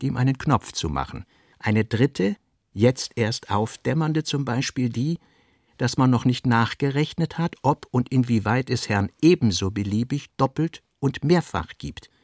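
Give me a man with a mid-range voice doing a measured literary radio reading, close and dry. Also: medium pitch, no room sound. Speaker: narrator.

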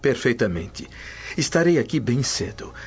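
An actor playing a humble, deferential freed slave and scribe in a radio drama, polite and reserved. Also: male voice, mid-range voice, sing-song, normal speed, gravelly, slightly rough, lo-fi sound, exaggerated voice.

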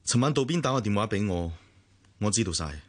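Cantonese radio drama sensible big brother, low voice, hong kong accent, lectures but keeps his distance. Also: expressive, brisk. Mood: matter-of-fact, detached. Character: protective but detached elder brother, sensible teacher.

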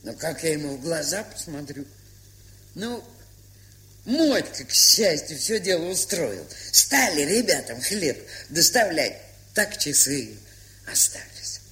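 An actress playing a gruff, stubborn but kind-hearted old aunt in a radio play, with an aged, husky, folksy, grumbling way of talking. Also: female voice, deep voice, sing-song, slow, bright, forceful tone, full character voice. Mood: regretful. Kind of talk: Soviet radio play acting.

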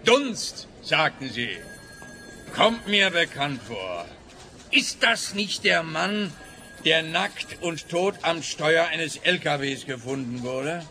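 A man acting a chatty, self-satisfied, bumbling police detective in a comic radio play, rambling pompously and haltingly. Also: a little high, animated, bright, forceful tone, slight background noise, full character voice. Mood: musing, nosy.

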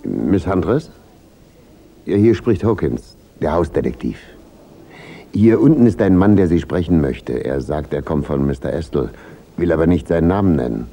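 A man acting a gruff, wary hotel detective with a gravelly voice in a German radio crime drama. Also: rumbling low, expressive, normal speed, mellow, exaggerated voice. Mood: businesslike. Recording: slightly noisy radio drama recording.

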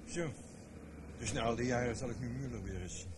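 A man's voice: mid-range voice, a little fast, close to an everyday voice. A man acting in a Dutch radio play as a wry, grumbling hired impersonator with a theatrical way of talking. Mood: uneasy, grumbling. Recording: noisy radio play recording.

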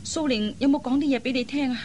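A woman playing an anxious, caring elder sister in an expressive, earnest radio drama style. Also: sits high, fast talker, close to an everyday voice. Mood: concerned, puzzled. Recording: faint background noise.

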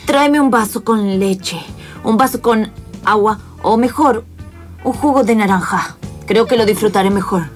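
A woman's voice: medium pitch, unhurried, faint music behind, close to an everyday voice. A woman playing an eccentric male scientist, dazed and rambling in bewilderment, in an improvised radio play. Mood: feverish, pompous.

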